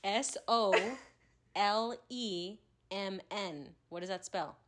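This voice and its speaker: A woman co-hosting a podcast in casual chat, animated and teasing, laughing often. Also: mid-range voice, slow pace, noisy background. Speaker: podcast co-host.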